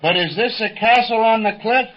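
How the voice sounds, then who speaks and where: An actor playing a scrappy, hot-headed, folksy pilot sidekick, blustering in slangy exclamations in 1930s radio serial style. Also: male voice, high-pitched, moderate pace, soft background music. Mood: defiant, indignant. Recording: muffled 1930s radio recording.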